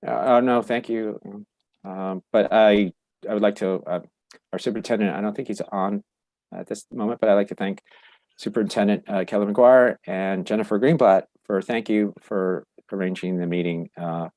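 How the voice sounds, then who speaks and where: A man chairing a council meeting, halting with many fillers and restarts, losing his voice. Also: fairly low pitch, fairly even intonation, a little mellow, no echo. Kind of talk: virtual public meeting.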